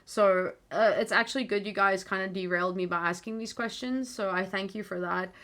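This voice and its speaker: A woman's voice: mid-range voice, fairly even intonation, brisk, a bit bright. A woman from South Africa, Afrikaans by birth, with an American accent, hosting a casual livestream in a rambling, easily sidetracked way. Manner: rambling, easily sidetracked, chatty with frequent fillers. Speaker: livestream host.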